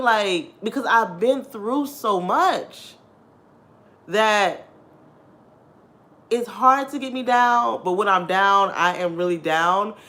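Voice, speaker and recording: A woman in her thirties with a deep, husky voice, talking candidly to her viewers in a casual chat and laughing often. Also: a bit bright. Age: 30s. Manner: chatty and candid, laughs often, addresses viewers as "girl" and "y'all". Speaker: vlogger.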